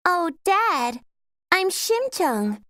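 A woman voicing a gentle, expressive female character in a children's lesson cartoon, enunciating simple lines carefully. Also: extremely high pitch, drawn-out words, no echo, clean studio sound, heavily acted. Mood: earnest. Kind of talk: slow, simple scripted lesson dialogue.

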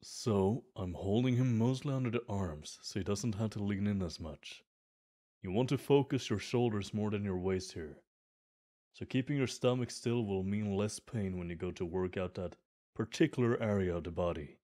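A man's voice: fairly low pitch, normal speed, dark tone, lightly acted. A man playing a friendly, eager gym bro in an ASMR roleplay, chatty and earnest as he explains the equipment.

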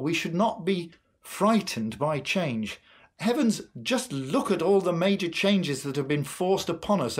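A man in his sixties leading worship as a minister, reading a sermon and prayers in an earnest, measured way with deliberate pauses. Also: on the high side. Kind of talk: sermon and prayer, read from a script. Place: small curtained room at home, indoors.

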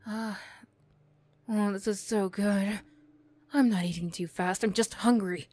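Woman acting a slow, flustered young former bully. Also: mid-range voice, steady tone, a little mellow, no room sound, natural voice. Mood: shy, surprised. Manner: stammering, bashful, snappy. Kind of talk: ASMR roleplay acting.

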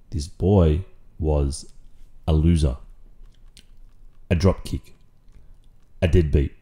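An Australian man playing a stern, protective, possessive father in an audio roleplay, speaking deliberately and condescendingly with drawn-out pauses and menacing calm. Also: rumbling low, exaggerated voice. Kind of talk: intimate audio roleplay, slow dramatic monologue.

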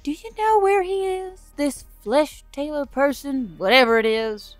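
Brief: a woman playing a sardonic young adventurer, higher and brighter than the narration, dry quips. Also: unhurried, no room sound, soft background music. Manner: sour, sarcastic, bright and raised.

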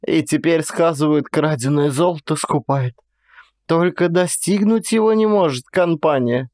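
A man's voice: a little high, a little slow, darkish tone, no room sound, studio quality, close to an everyday voice. A man voicing a garrulous, grumbling old Cossack village woman in a one-man audio play, with folksy, plaintive rustic speech. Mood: lamenting.

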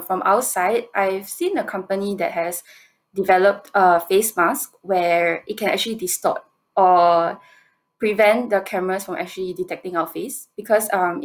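A woman in her twenties with a Singaporean accent, answering questions on a video call in halting, hesitant phrases. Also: mid-range voice. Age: 20s. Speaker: competition presenter answering judges' questions. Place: indoor room, on a video call.

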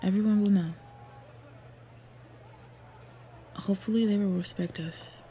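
Mellow, devoted orc warrior girlfriend, played by a woman. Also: mid-range voice, fairly even intonation, fast, close to an everyday voice. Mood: hopeful, tender. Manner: soft, earnest, intimate, often breathy. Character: devoted, protective female orc warrior lover. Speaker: roleplay audio voice actor. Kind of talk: intimate roleplay audio acting. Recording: clear background ambient noise. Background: ambience sound effects.

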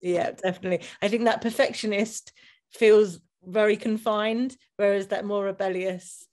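A woman's voice: mid-range voice, fairly even intonation, normal speed, darkish tone. Young woman, chatty and candid. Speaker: student and group participant. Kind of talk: casual group discussion on video call. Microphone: video call webcam.

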